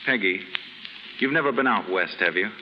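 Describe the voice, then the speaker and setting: An actor playing a genial, hesitant, lovelorn well-bred bachelor in a 1930s radio drama, polite and gently teasing. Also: male voice, on the low side, animated, moderate pace. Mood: tender, wistful. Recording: hissy 1930s radio recording, reverberant stage.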